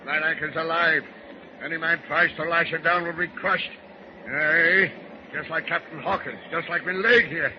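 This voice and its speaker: A man's voice: a little high, fairly even intonation, normal speed, dull audio, lightly acted. Man playing crusty old sea dog, noisy recording. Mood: grim, salty. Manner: blustering, barking, salty nautical talk. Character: crusty, irascible old peg-legged sailing ship's officer. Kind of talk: old-time radio drama acting.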